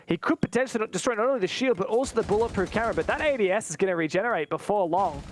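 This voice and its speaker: A man commentating an esports match live, play by play, in an excitable manner. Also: high-pitched, very fast, slight background noise. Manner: excitable, exclaiming at big plays.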